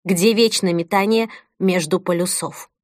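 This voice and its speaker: Woman reading an audiobook, slow and deliberate, dry close mic. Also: on the low side, fairly even intonation, no echo. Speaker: audiobook narrator.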